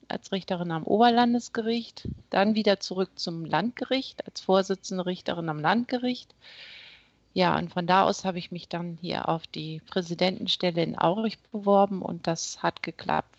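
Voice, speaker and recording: A middle-aged woman from northern Germany, a judge and court president, answering interview questions in a measured way with frequent hesitations. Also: medium pitch, dry recording, highs cut off. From East Frisia, Germany. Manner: measured, many hesitation sounds.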